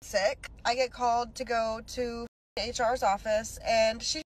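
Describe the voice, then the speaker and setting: A woman's voice: fairly high pitch, flat delivery, measured pace, low-quality recording. Sick, aggrieved woman venting. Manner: aggrieved, rambling. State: ill with a chest cold. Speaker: social media poster. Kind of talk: venting clip to camera.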